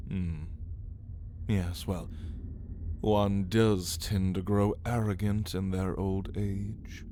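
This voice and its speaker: Man playing a low, very slow, menacing vampire. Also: vocal fry, warm tone, a little hiss or hum, heavily acted. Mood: condescending, knowing. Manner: slow, theatrical, taunting, with whispered drawn-out words. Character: ancient caged male vampire, arrogant, menacing and amused. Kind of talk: ASMR roleplay, addressing the listener.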